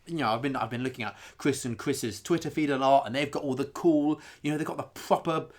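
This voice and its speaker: A man with a British accent playing a petulant collector-nerd podcast co-host, hesitant and repetitive, in a deadpan comedy show. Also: medium pitch, a little fast, lightly acted. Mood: sulky, petulant. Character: petulant, sulky male collector-nerd fan.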